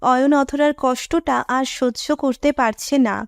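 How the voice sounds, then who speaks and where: Female narrator, high pitch, very dry close sound, scripted romantic storytelling. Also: steady tone, a little slow, no room sound.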